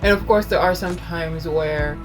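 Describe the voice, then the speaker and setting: A woman's voice: on the low side, normal speed, music in the background, low-quality recording. A woman co-hosting a casual video chat with her husband, speaking in an earnest, heartfelt way. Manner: earnest, warm-hearted, talks about faith. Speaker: co-host.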